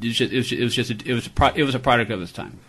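A man hosting a radio talk show, speaking in halting bursts and repeating words as he thinks. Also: mid-range voice, fairly bright tone. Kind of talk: casual chat, also reading an ad.

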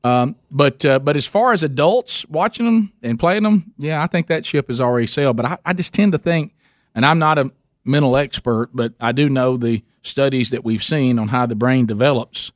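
A man's voice: medium pitch, normal speed, dry recording, studio quality. A man hosting a call-in radio show, talking conversationally and circling back to rephrase as he explains his point. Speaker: radio talk show host.

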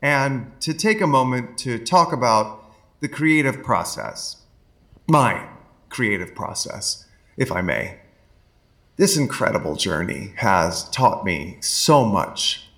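Nervous earnest composer at a mic, slow and halting, voice goes up and down a lot. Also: male voice, mid-range voice, lively intonation, fairly bright tone. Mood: earnest, sentimental. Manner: hesitant, halting, apologetic, repeating words. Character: awkward, earnest, sentimental male composer making a speech. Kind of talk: nervous speech at a microphone.

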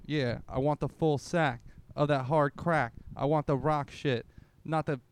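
A man's voice: a little high, fairly even intonation, a little slow, very noisy. A man co-hosting a comedy podcast, half-singing improvised joke songs. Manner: half-sung improvised chanting.

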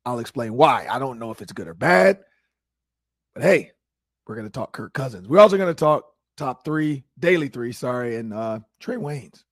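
A man hosting a sports talk show, his voice hoarse from shouting, talking energetically. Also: mid-range voice, normal speed, no room sound, clean studio sound. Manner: animated, energetic opinions. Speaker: host.

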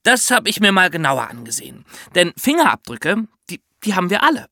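A man co-hosting a children's podcast with a bright, crisp edge to his voice. He explains things step by step and speaks straight to his young audience. His delivery swings up and down with plenty of animation, recorded dry. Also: sits high, sing-song, brisk, no room sound. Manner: instructive, addresses young listeners directly. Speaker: podcast co-host.

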